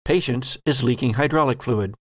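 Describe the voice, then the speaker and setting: A man narrating a tabletop role-playing game as game master in a deliberate, descriptive way. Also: medium pitch, dry recording, clean studio sound.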